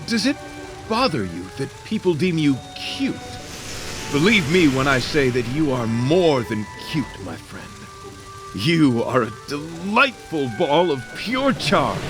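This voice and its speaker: A man's voice: medium pitch, lightly acted. A man playing a gallant, solemn knight with flowery, courtly and pompous speech in a video game.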